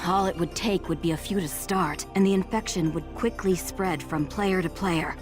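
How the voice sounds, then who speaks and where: A composed, businesslike female agent, as a woman voices her in a game cutscene. She delivers briefings in a crisp, matter-of-fact way at a mid pitch, with faint music underneath. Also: moderate pace, lightly acted.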